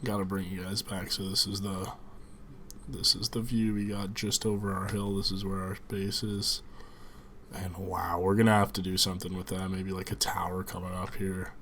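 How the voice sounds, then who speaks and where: A man's voice: sits low, moderate pace, a little hiss or hum. A young man with a slight cold, chatting casually to his viewers as he plays a video game. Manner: relaxed, chatty, thinks aloud, addresses viewers as guys. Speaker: gaming video host.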